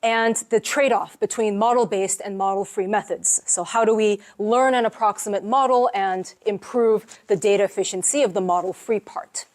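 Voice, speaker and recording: A woman lecturing at a university seminar, explaining research with a relaxed, conversational delivery. Her voice sits at a middle pitch, and she often pauses on small fillers as she walks the audience through her slides. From United States. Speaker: professor giving a seminar.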